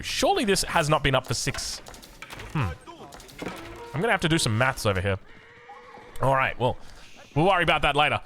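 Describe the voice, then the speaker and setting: A man's voice: on the high side, sharp tone. An Australian man giving live strategy game commentary in a chatty, enthusiastic, self-correcting way. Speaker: esports caster of a strategy game.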